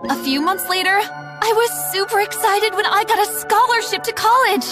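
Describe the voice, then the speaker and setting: A woman's voice: very high-pitched, moderate pace, over music. A young woman giving a dramatic, scripted first-person narration as a story's narrator.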